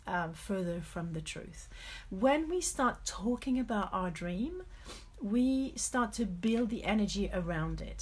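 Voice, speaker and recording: A middle-aged French woman speaking English with a French accent, giving a candid, reflective motivational talk with personal stories, often correcting herself, in a husky voice. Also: mid-range voice. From France. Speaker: motivational coach hosting a live stream.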